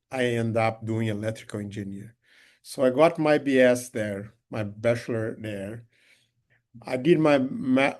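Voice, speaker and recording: Brazilian-accented man, Portuguese native, halting guest speaker on a call, lots of restarts. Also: mid-range voice, darkish tone. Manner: halting, many restarts and fillers. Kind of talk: online talk. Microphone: video call.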